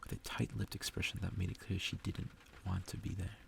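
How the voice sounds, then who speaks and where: A man softly reading a story aloud as ASMR, often breathy and half-whispered, with a gravelly fry. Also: sits low, flat delivery, a little fast, a little hiss or hum. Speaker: ASMR reader. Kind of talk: soft ASMR reading of a visual novel. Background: music.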